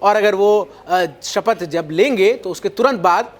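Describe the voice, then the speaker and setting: A man's voice: sits high, measured pace. A man presenting news commentary in an emphatic, explanatory way, leaning on rhetorical phrasing.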